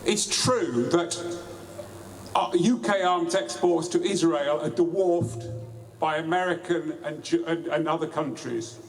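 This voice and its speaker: A man giving a deliberate protest speech outdoors at a street rally, with small restarts. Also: on the high side, faint music behind. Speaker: rally speaker.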